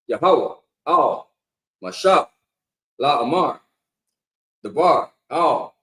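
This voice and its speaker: A man reading scripture aloud to a gathering, sounding out each word slowly and haltingly. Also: on the high side, fairly bright tone. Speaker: congregation member reading scripture. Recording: echoey hall, off mic.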